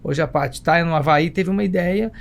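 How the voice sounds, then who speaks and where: A man's voice: a little high, a little fast. A man with a Brazilian Portuguese accent talking emphatically in a conversational interview, repeating himself and throwing in rhetorical questions. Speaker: travel agency entrepreneur, podcast guest.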